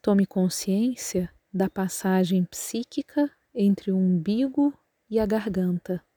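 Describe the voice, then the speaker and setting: A Brazilian Portuguese-speaking woman guiding a relaxation meditation as a yoga nidra instructor, calm and measured in her instructions. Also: mid-range voice, dry recording.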